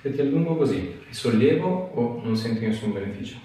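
A man's voice: medium pitch, expressive, a little fast, dark tone. A man, a chiropractor giving short, clipped directions to a patient in a small treatment room. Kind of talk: hands-on instructions to a patient, casual chat. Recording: very echoey room, distant mic, faint noise.

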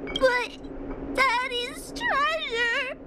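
Very squeaky little girl. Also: drawn-out words, heavy background noise, heavily acted. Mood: tearful, guilty. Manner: childlike, speaks of herself by name. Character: plucky, earnest little girl, childishly emotional. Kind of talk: video game dialogue acting.

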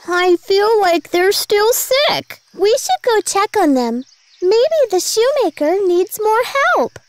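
Very high-pitched sweet little girl. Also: big pitch swings, slow pace, no room sound, exaggerated voice. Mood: earnest, eager. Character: sweet little girl, caring and eager helper. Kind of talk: animated children's story dialogue.

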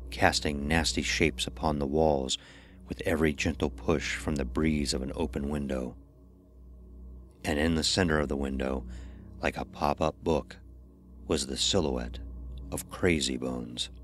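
A man reading scripted horror narration in a measured, ominous storytelling manner. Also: rumbling low, fairly even intonation, slight background noise.

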